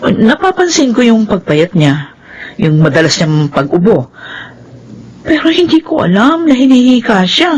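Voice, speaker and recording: A woman playing a soft-hearted, anxious middle-aged Filipino mother and wife in a radio drama. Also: fairly high pitch, unhurried, breathy edge, exaggerated voice. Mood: worried. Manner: anxious, expressive. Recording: noisy radio drama track.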